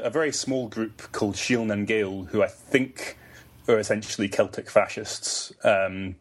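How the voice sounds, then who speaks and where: A man with a lowish voice talks through a topic in a relaxed podcast chat. He explains patiently, stopping and restarting his sentences as he goes. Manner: hesitant, explanatory, many restarts. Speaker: guest. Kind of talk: casual podcast conversation.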